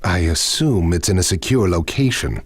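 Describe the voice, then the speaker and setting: An actor playing a sinister, cautious male accomplice in an animated game cutscene, formal and measured. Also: low voice, breathy edge. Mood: wary.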